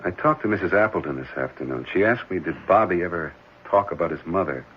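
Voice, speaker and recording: An actor playing a gentle, earnest married foster father in a 1970s radio drama, speaking hesitantly and reassuringly. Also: low-pitched, animated, quick pace. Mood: pensive. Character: gentle, caring married man fostering an orphan boy. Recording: muffled, noisy old radio broadcast.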